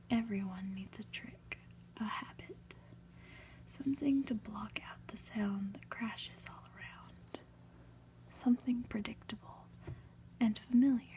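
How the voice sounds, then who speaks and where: A woman softly whispering a story aloud in an ASMR style, deliberate and lingering on phrases with pauses. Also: fairly high pitch. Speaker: ASMR reader, channel host.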